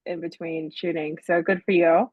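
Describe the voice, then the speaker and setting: A woman's voice: lowish voice, steady tone, moderate pace, no echo, narrow, tinny audio. Chatty woman moderating, dry sound. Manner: chatty, lots of "like" and "right?". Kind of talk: casual moderating.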